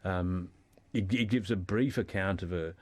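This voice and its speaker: A man with a low voice talks as a guest in a radio discussion. The sound is dry, with little room in it. He explains haltingly, often doubling back on his words. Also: no room sound.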